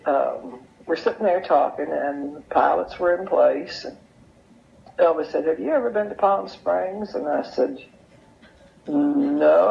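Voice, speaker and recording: Older woman, creaky low-fi voice recording, folksy storytelling, unhurried reminiscence. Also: a little hiss or hum. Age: older, over 70. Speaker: interview guest sharing memories. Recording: dull, lo-fi sound.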